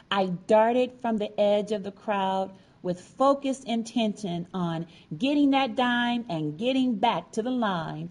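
A woman narrating a personal story from a script, with deliberate, dramatic phrasing. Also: mid-range voice, darkish tone. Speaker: featured storyteller.

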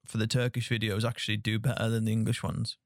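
A man's voice: fairly low pitch, monotone, fast talker. A young man hosting a podcast, chatting in a friendly, filler-heavy way. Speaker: podcast host. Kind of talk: casual interview chat.

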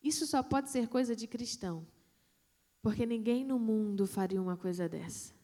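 A woman missionary, telling a testimony in a sermon, with dramatic pauses and hushed emphasis. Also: fairly high pitch. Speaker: missionary preacher.

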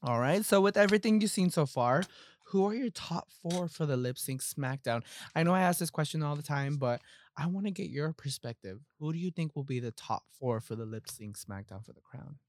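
A man's voice: a little high, expressive, fairly quick. A man hosting a casual pop-culture recap podcast, talking in sassy, emphatic slang with plenty of swearing. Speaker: podcast host.

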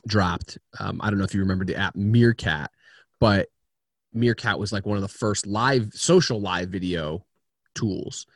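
Lower-voiced man telling stories on a call. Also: dry recording, clean studio sound. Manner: chatty storyteller, quick and animated. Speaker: interview guest, video agency founder. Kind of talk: casual remote interview. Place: home. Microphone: video call.

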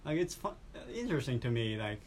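A man's voice: medium pitch, very animated, brisk, a little hiss or hum. A man with a Japanese accent hosting a casual chat, often restarting his phrases. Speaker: host.

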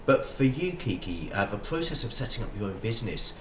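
A man's voice: lowish voice, sing-song, brisk. A man hosting a podcast interview in a conversational way. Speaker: podcast host. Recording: echoey, distant, faint background noise.

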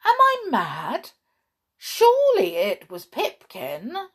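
A woman voicing an excitable, puzzled male pixie in a storybook, exclaiming as he talks. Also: high-pitched, takes it slowly, voice pushed up, full character voice. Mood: puzzled surprise.

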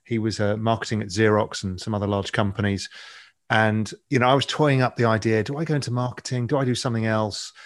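A man in his fifties with an English accent, a podcast guest telling his career story in a relaxed, rambling way with frequent ums. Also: on the low side, quick pace. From England. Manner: relaxed, anecdotal, many ums. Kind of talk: interview storytelling.

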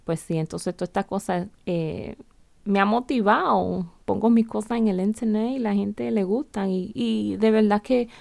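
A woman's voice: medium pitch, moderate pace, vocal fry, no echo. A middle-aged woman from the Bronx who speaks Spanish with a blended Dominican and Latin American accent, hosting a casual solo podcast and talking in a rambling way with many restarts. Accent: Dominican Spanish mixed with other Latin American accents. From United States (the Bronx). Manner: rambling, frequent restarts, softly spoken. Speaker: podcast host.

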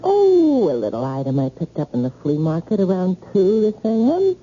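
Brash, mellow-voiced, animated girlfriend. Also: lowish voice, moderate pace. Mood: flippant. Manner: breezy, slangy, teasing. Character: brash, flippant, night-owl girlfriend of a stockbroker. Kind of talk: old-time radio drama acting. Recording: muffled, noisy old radio recording.

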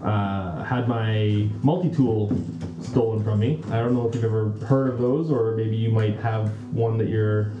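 A man playing in a tabletop RPG podcast, chatting casually in character with a hesitant, halting delivery. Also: fairly low pitch, fairly even intonation. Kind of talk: casual in-character game chat. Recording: roomy, muffled, music behind. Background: background music.

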